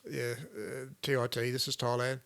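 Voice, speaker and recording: Smooth-voiced man, easygoing ramble. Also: mid-range voice, moderate pace. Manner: relaxed, rambling, with many fillers and restarts. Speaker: vlog host. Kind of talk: casual chat.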